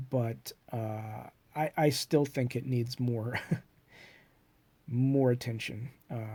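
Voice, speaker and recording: Congested man musing with many pauses. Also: medium pitch, mellow, no room sound. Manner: hesitant, many fillers and restarts, reflective. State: congested from a cold. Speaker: self-published author. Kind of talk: rambling personal monologue.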